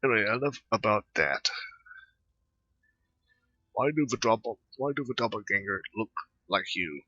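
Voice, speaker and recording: A man hosts a gaming playthrough with loose, rambling remarks. His lowish voice is very forceful and edgy, and he halts and repeats phrases. The sound is dry and lo-fi. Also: lively intonation, no room sound. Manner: halting, repeats himself. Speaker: gaming video host. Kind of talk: casual, rambling game playthrough commentary. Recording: dull, lo-fi close dry mic.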